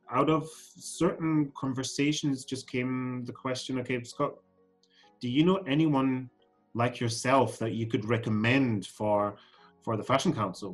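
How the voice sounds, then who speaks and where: A man in his forties, born in Scotland and raised in Germany, answering questions as a podcast guest, with frequent ums and restarts. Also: mid-range voice. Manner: hesitant, many ums and restarts. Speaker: guest, fashion council CEO. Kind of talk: podcast interview.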